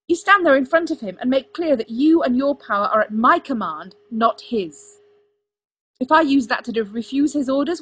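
A woman's voice: mid-range voice, fairly bright tone, slightly rough. A woman voicing a proud, stubborn young crown prince with a clipped, commanding manner, in an audiobook story.

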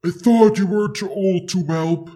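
A storyteller performs a massive, proud dragon for an audiobook. The delivery is very slow and dark-toned, with formal, haughty phrasing and a possessive, easily offended temper. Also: mid-range voice, close to an everyday voice. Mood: offended, skeptical. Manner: imperious, haughty, stilted formal speech.

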